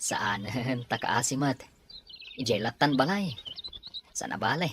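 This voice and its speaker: A man's voice: mid-range voice, lively intonation, measured pace, a bit bright, slight background noise. A man with a Filipino accent playing a shy, polite married farmer in an audio drama. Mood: bashful. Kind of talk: Ilocano audio drama acting.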